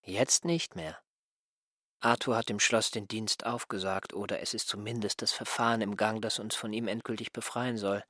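Husky self-righteous ex servant in a radio play, kinda low, aggrieved and smug. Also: male voice, moderate pace, bright, forceful tone, no echo, clean studio sound. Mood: matter-of-fact, smug. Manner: reproachful, self-righteous, pedantic. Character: aggrieved, smug male former assistant and servant. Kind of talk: literary radio drama acting.

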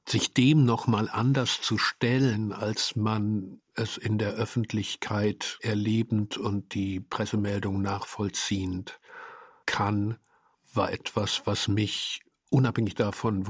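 Male director, reflective interview. Halting and unhurried, slightly husky, close dry sound. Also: fairly low pitch, no room sound. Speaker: radio drama director. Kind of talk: reflective spoken interview statement.

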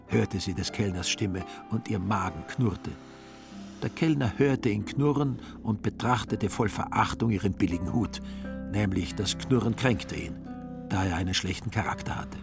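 Low-voiced man narrating a literary audio drama. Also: vocal fry.

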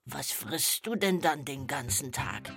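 Giggly raspy hyena for a kids podcast, excitable, stammers, swoops all over, super bright. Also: female voice, extremely low pitch, a little slow, no room sound, studio quality, faint music behind, heavily acted. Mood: giggly, joking. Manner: stammering, cackling, exaggerated delivery. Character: giggly, excitable female hyena who tells corny jokes. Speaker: comic sidekick of a children's podcast. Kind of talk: children's podcast comedy.

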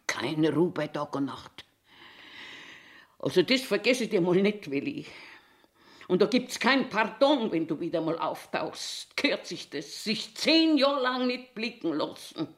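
A woman's voice: fairly low pitch, very animated, unhurried. A woman in her eighties with a Bavarian accent, playing a cranky, eccentric old washroom attendant grumbling to herself in a theatrical monologue. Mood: brooding. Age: 80s. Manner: grumbling self-talk, swinging between scolding and muttering. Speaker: actor.

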